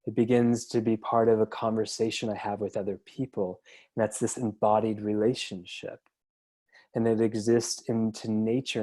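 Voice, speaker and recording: A man with a mellow, fairly low voice moderates a panel over a video call. He talks thoughtfully and feels his way through his ideas, often restarting a phrase. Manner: thoughtful, searching, many restarts. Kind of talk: reflective panel discussion. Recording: online video call audio.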